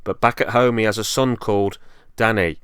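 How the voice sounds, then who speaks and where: A man's voice: lowish voice, fairly even intonation, moderate pace, fairly bright tone, clear, resonant tone, dry recording, studio quality. A man presenting a semi-scripted review as host, speaking in an earnest, enthusiastic way. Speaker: host and reviewer of horror films.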